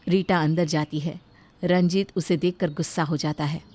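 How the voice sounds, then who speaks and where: A woman's voice: deep voice, fairly even intonation, moderate pace, darkish tone. A woman reading scripted narration of a moral story as its storyteller.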